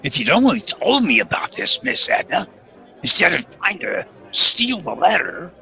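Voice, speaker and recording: An actor playing an earnest, lovestruck Old West deputy with folksy, deferential manners in a radio western. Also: male voice, high-pitched, very animated, normal speed, creaky, bright, forceful tone, heavily acted, rough recording. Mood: worried. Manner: folksy, deferential, formal address. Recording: music and crowd murmur behind.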